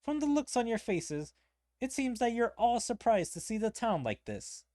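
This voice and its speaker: A streamer voicing excitable, childish fantasy game characters by reading their dialogue aloud dramatically. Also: medium pitch, moderate pace, no echo, studio quality, voice pushed up, lightly acted. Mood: gleeful announcing.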